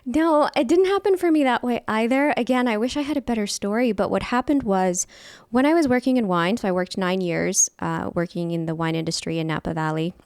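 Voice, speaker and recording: A woman in her forties talking as an interview guest. Her voice is smooth and clear, recorded close and dry with no room sound. She chats openly and easily. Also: mid-range voice, a little fast, dry recording. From California, USA. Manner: candid, chatty storyteller. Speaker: guest, fermentation specialist. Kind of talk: casual interview chat.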